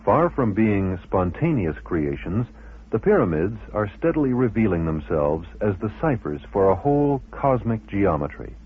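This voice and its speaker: Male documentary host, slightly low and husky with expressive intonation, scripted read, narrow-band radio audio. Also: moderate pace. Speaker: documentary presenter.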